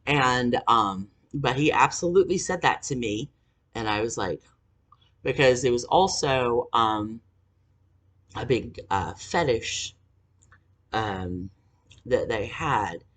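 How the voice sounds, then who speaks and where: A man in his forties telling a personal story to camera, halting with fillers and angry swearing. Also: mid-range voice, animated, a bit bright. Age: 40s. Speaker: vlogger. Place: indoors, dim room.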